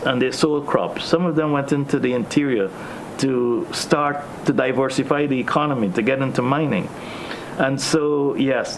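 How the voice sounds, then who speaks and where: Guyanese man, measured talk. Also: mid-range voice, slight background noise, rough recording. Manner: measured, with many ums. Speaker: host. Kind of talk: discussion.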